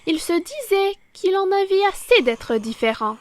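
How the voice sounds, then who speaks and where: A woman reading a children's picture book aloud, deliberate and theatrical, stressing key words. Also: very high voice, big pitch swings, dry recording. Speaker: children's story reader, French teacher.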